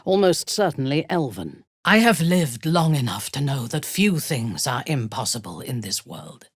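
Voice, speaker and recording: A woman playing a haughty, ruthless drow warrior in a video game, with a husky, breathy voice and formal, imperious diction. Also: extremely low pitch, very animated, normal speed, no room sound, clean studio sound, heavily acted. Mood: cool, haughty. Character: haughty, ruthless female drow warrior, devout and coldly seductive. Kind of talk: video game character acting.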